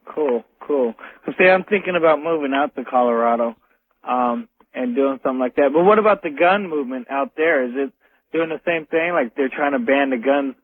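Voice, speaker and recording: An American man phoning a radio show, talking casually and haltingly and repeating his words. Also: mid-range voice, slightly rough, no echo. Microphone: telephone. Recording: tinny phone line on radio.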